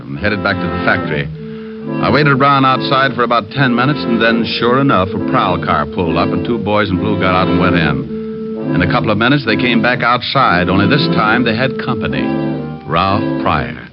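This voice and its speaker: A man's voice: on the low side, expressive, brisk, natural voice. An American man playing a cool, wisecracking hard-boiled private detective in a 1940s radio drama, flippant and sardonic. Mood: cool narration. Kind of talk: 1940s radio detective acting, with first-person narration. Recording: dull 1940s radio broadcast recording, music.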